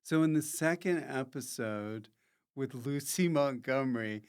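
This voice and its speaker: A man hosting a comic podcast in a rambling, forgetful, jokey way, often halting as he loses his thread. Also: mid-range voice, smooth. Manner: jokey, prone to loud mock announcements. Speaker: podcast host.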